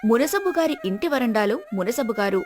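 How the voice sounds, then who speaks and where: A woman's voice: on the high side, animated, normal speed, a little mellow. A woman narrating a scripted village moral story as the storyteller. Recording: music behind the voice.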